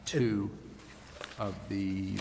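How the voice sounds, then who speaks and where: A man's voice: on the low side, fairly even intonation, very slow, dark tone. A man, a city official, giving procedural advice in a polite, formal and deferential manner. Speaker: city official advising the council. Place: large indoor council chamber. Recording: echoey noisy council chamber.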